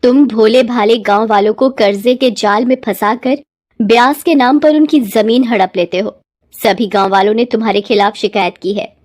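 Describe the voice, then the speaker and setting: A woman's voice: a little high, fairly even intonation, normal speed, darkish tone, lightly acted. A woman voicing a determined, defiant young village daughter in a cartoon moral story, firm and earnest. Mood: stern.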